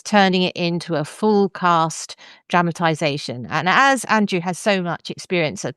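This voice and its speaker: A woman's voice: fairly low pitch, fairly bright tone, clean tone, dry recording, clean studio sound. A woman hosting a podcast, chatty and articulate as she interviews a guest in a conversational way. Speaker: podcast host.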